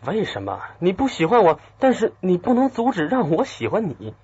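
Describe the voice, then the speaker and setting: An actor playing an earnest, bewildered, lovestruck young man in a radio drama, with a husky voice. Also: high voice, huge pitch swings, normal speed, a little mellow, heavily acted. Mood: hurt, insistent. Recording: dull muffled audio, faint music.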